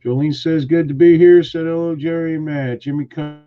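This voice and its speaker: A man's voice: a little high, fairly even intonation, a little fast, phone-quality sound, faint music behind. A man hosting a casual live stream, greeting viewers and teasing his co-hosts in a joking, rambling way. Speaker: host.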